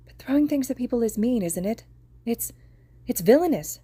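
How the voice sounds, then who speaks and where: Woman reader putting on a high, tearful little girl near crying, storybook reading, mellow tone. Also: lively intonation, moderate pace, husky, dry recording. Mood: sulky. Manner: wobbling between anger and tears. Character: tearful angry girl around seven.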